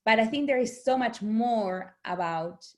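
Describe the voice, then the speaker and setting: A middle-aged woman from Argentina, a native Spanish speaker, talking as an author guest in an interview, animated and laughing often. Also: on the high side, unhurried. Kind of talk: conversational interview.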